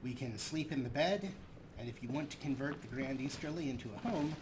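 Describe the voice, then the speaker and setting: A man's voice: mid-range voice, brisk, background noise. A man hosting a video game let's play, giving commentary as he goes. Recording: loud game ambience behind voice.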